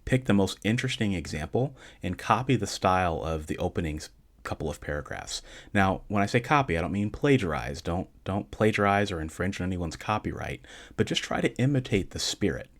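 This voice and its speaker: Calm deep-voiced man giving advice. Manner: calm, measured advice with dry deadpan humor. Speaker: podcast host answering listener questions. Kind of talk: casual solo podcast talk.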